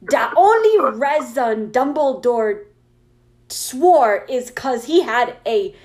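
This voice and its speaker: A woman's voice: high-pitched, animated, slow pace, a little mellow, voice pushed up. A woman voicing a petulant, defensive teenage girl writer scolding her readers. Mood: indignant.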